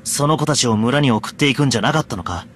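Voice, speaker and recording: A man's voice: medium pitch, fast, fairly bright tone, slight background noise, natural voice. A man voicing a young knight in an anime game, blunt and sardonic, exasperated but caring. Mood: serious, commanding. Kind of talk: Japanese anime game voice acting. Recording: light background music.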